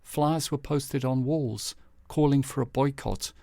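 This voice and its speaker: A man reading scripted, documentary-style news headlines in a measured, formal manner. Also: medium pitch, gravelly, dark tone, no room sound, studio quality. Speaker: narrator presenting historical news headlines. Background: background music.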